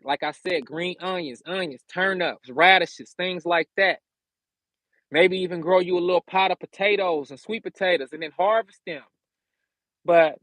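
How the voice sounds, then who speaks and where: A man's voice: a little high, a bit bright, no echo. An American man from California talking casually on a livestream, giving slangy, conversational advice and repeating phrases for emphasis. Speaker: livestream host giving self-sufficiency advice.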